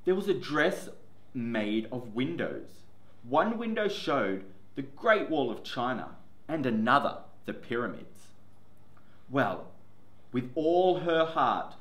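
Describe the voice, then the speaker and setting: A male teacher in his forties reads a picture book slowly, with a lively rise and fall in his voice. He sits in a classroom, and the camera catches a roomy echo. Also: medium pitch. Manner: slow, expressive storytelling. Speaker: teacher reading aloud. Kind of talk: picture book read-aloud. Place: classroom, indoors. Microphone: camera in front, seated. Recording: echoey classroom.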